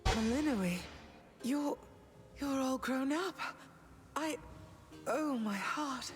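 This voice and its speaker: An overwhelmed, loving queen mother speaks haltingly and breathlessly. Her high voice moves extremely slowly over prominent music, as in an emotional game cutscene. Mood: overwhelmed, tender. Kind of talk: dramatic video game voice acting.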